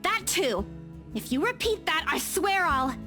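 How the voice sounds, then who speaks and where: Feisty young heroine, voiced by a woman, visual novel read, high-pitched, animated, easily riled, music faintly behind. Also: measured pace, exaggerated voice. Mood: indignant. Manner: protesting, emphatic.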